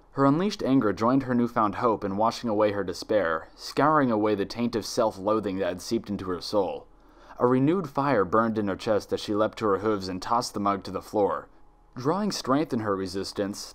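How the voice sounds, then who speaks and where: A man reading scripted fiction aloud as a dramatic story narrator. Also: on the low side.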